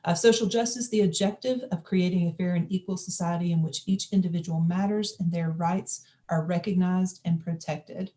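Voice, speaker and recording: A woman's voice: lowish voice, steady tone, mellow. A woman organizing an online forum, giving housekeeping announcements with many hesitations. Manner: halting, many uh fillers.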